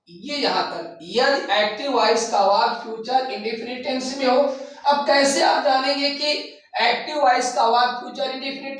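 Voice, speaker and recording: A high-pitched male teacher speaks from well away from the microphone in a heavily reverberant room. He goes slowly through a lesson step by step, restating phrases and asking questions that he answers himself. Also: fairly bright tone. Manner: didactic, repeats phrases and asks rhetorical questions. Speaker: English grammar teacher. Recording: very echoey room, distant microphone.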